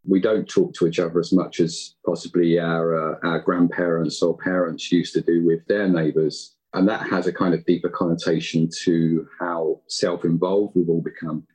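A man chats as a guest in an interview, explaining his work informally. His voice sits low and has a rough, husky grain. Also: darkish tone, rough recording. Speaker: guest, audio drama writer and editor. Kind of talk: casual interview talk.

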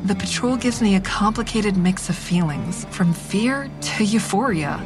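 A woman's voice: medium pitch, a little slow, natural voice. Woman acting a reflective narrator over music. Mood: somber, reflective. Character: somber, introspective woman patrolling a bridge. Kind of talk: audio drama first-person narration. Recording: music under the voice.

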